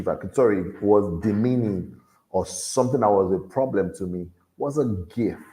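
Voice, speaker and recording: A man with a deep voice speaks in a low register, giving a motivational talk to young people. His tone is mellow and warm. He exhorts his listeners earnestly and circles back to repeat his key points. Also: poor audio. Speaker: motivational speaker at a youth event.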